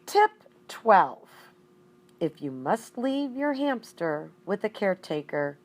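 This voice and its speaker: A woman reading a children's story aloud in an expressive, deliberate manner with emphatic stresses. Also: medium pitch. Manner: deliberate, with emphatic repeated words. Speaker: audiobook reader.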